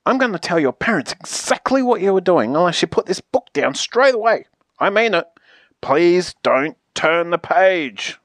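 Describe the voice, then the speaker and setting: A woman voicing an exasperated, pleading character in a children's picture book, theatrical and emphatic with drawn-out pleas. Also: lowish voice, dry recording, studio quality. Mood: threatening, bossy.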